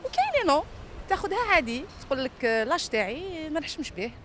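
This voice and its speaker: An Algerian woman answering casually on the street. She speaks very fast with lively, swinging intonation and drops in French words, with clear street noise around her. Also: high-pitched. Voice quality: frequent French words mixed in. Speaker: passer-by being interviewed. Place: outdoors on a busy city street. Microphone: reporter's handheld microphone.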